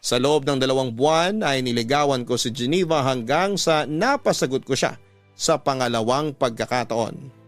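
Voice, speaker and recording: A man voices an earnest letter writer for radio love storytelling. He reads in a medium-pitched, measured, sentimental way. Faint music runs beneath the narration. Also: dry recording, natural voice. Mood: sentimental, reflective.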